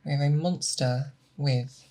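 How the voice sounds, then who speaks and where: A patient, methodical monster hunter, as an ASMR performer plays the part, mentoring an apprentice. The voice is mid-low and androgynous, with very slow, deliberate delivery that coaxes with questions. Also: steady tone, mellow, slight background noise, lightly acted. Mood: calm, focused. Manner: unhurried, deliberate, coaxing with questions. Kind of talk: ASMR roleplay, scripted character monologue.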